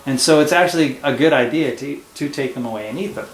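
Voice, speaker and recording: A man's voice: on the high side, fast, reverberant, a little hiss or hum, poor audio. A man teaching at home, explaining a storybook lesson to a child patiently and step by step. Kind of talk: casual classroom discussion.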